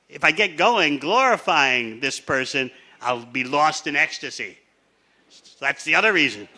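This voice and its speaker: A man teaching a scripture class, telling the story in a measured way and laying out his points one by one. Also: on the high side, bright, forceful tone. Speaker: spiritual teacher.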